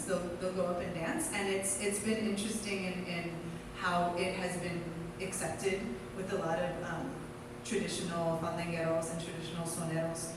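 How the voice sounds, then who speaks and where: A woman speaking as a dancer on a live panel, explaining at length with many restarts and fillers. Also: lowish voice. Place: theater stage. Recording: echoey hall, distant mic, noise.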